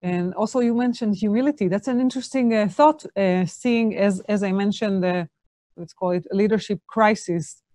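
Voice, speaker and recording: A woman's voice: medium pitch, normal speed, warm tone, no room sound. A woman hosting an interview, asking questions hesitantly with many fillers.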